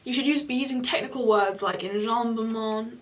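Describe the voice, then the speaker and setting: A woman's voice: a little high, moderate pace, a little hiss or hum. Chatty young woman giving exam tips. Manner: chatty, animated hand gestures, self-correcting. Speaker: student giving exam advice. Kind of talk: casual advice chat on camera. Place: small home room, indoors. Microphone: camera in front of two seated speakers.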